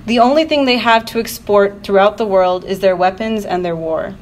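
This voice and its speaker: A woman's voice: mid-range voice, normal speed. A woman reading a prepared political speech at a live event, with earnest, declarative and emphatic phrasing. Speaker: activist panel speaker. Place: university event, speaking to an audience. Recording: noisy live event room recording.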